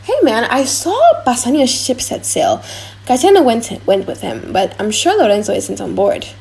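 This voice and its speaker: Woman playing young gossipy guy, excitable, chatty audiobook play, highish voice. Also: moderate pace, creaky. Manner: conversational, gossipy. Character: excitable young Venetian man swapping street gossip. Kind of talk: dramatic audiobook dialogue in modern English.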